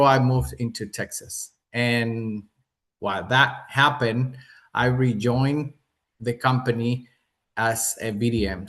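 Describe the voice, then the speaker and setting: A man from Mexico with a Mexican accent, giving an earnest hotel sales presentation on a video call webinar. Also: medium pitch.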